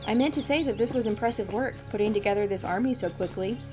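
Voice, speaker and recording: A woman's voice: medium pitch, fast talker, music in the background, natural voice. A woman playing a commanding, wry mission director in an audio drama, speaking with determination. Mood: brisk, resolute.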